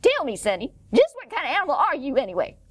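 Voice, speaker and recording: A woman reads as a courteous male armadillo mailman from a children's storybook. He is folksy and chatty, with an animated, lilting melody and a dry sound. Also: high voice, fast, no room sound, pitched above the performer's own voice.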